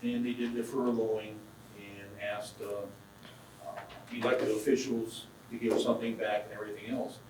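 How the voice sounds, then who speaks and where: Plain-spoken man, far off in a booming hall. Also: level tone, moderate pace, darkish tone, a little hiss or hum. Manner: plain-spoken, rambling questions. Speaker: county supervisor. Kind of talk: questioning at a public meeting. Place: council chamber. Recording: distant mic in a very echoey hall.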